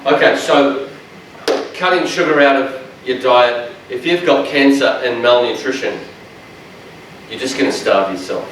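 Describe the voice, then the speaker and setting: A man, a dietitian giving a lecture to health workers in a large room, with a loud, strong voice and a passionate, joking manner. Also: medium pitch, measured pace, a little hiss or hum. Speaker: dietitian presenter. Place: large echoey training room. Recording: echoey room, speaker far from mic.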